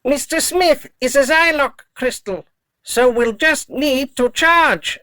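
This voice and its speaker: A woman's voice: high voice, slow pace, fairly bright tone, no echo. A woman playing a polite, loyal robot dog in an audio drama, speaking in clipped, formal, literal phrases. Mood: dutiful, matter-of-fact.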